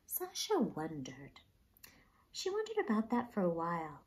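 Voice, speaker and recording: A woman reading a children's story aloud with expressive, dramatic, emphatic narration. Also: fairly low pitch, measured pace.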